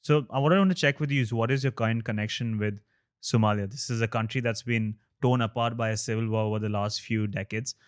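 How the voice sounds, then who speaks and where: A man hosts a podcast interview and frames his questions with care. His voice is on the low side, and he keeps a measured, reflective manner. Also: fairly even intonation, clean tone. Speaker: podcast host.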